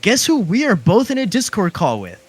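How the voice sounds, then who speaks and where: Young guy chatting on a call, slangy, voice a bit high, jumps around a lot for emphasis. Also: wildly swooping pitch, moderate pace, husky. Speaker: streamer's guest on a call, fellow YouTuber. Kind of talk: casual chat.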